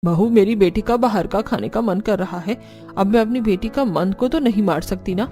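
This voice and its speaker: A woman acts out a bossy older mother-in-law from a family cartoon. Her delivery is scolding and stern, with a mellow tone. Soft music sits behind the voice. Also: medium pitch, normal speed, pitched below the performer's own voice, lightly acted. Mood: bossy, dismissive. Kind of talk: Hindi moral cartoon story acting.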